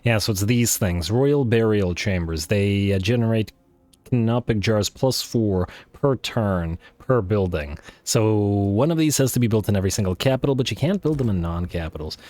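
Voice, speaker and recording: A man thinking aloud while playing a strategy game, full of fillers and restarts, his voice worn and going hoarse. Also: lowish voice, animated, moderate pace, darkish tone, dry recording. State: losing his voice. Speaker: let's play host. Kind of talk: casual strategy game commentary.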